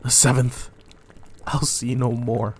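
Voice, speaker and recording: A man's voice: medium pitch, flat delivery, takes it slowly, a little hiss or hum. A man playing a tormented, imperious and ruthless king, declaiming weightily in a dramatic Shakespeare reading. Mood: horrified.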